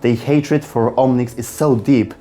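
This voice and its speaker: A man's voice: mid-range voice, steady tone, measured pace, dark tone, faint music behind. A man reading scripted narration as the narrator of an explainer video.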